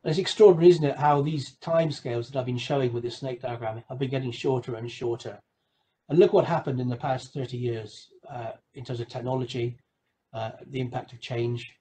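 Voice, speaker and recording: A man giving an explanatory slide lecture over a video call, measured, with emphatic phrase openings. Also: medium pitch, steady tone, mellow. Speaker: guest lecturer presenting a webinar.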